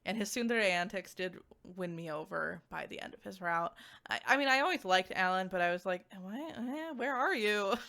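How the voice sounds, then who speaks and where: A woman giving a casual, conversational review to viewers, animated and peppered with "like" asides. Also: mid-range voice, normal speed, vocal fry. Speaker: visual novel reviewer.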